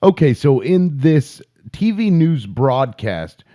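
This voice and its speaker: A man's voice: a little high, sing-song, slow, dark tone. A man giving informal opinion commentary between audio clips, spelling his points out emphatically with repetition. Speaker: video commentator.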